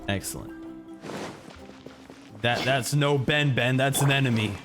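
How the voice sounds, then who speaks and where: A man's voice: medium pitch, unhurried, music in the background. A man giving casual, effusive live reaction commentary as a gaming streamer. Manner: enthusiastic, effusive.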